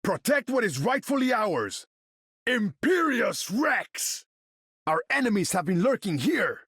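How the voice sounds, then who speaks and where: A man's voice: sits high, very animated, measured pace, a bit bright, breathy edge, dry recording, studio quality, full character voice. An actor playing a proud, haughty sea king warrior, speaking in a regal, pompous, theatrical manner as a video game character. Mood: triumphant battle cry. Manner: regal, pompous, theatrical declamation in formal speech.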